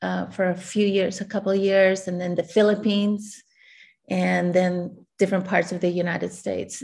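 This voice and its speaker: A woman in her forties from Chile, an artist reflecting in a relaxed interview over a video call, laughing often. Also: mid-range voice, vocal fry.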